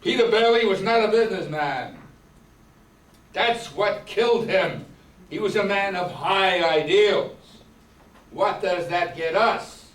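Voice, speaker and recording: An older man playing a cold, scornful old businessman in an amateur radio play, blunt and deliberate. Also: high-pitched. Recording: echoey room, distant mic, audience murmur.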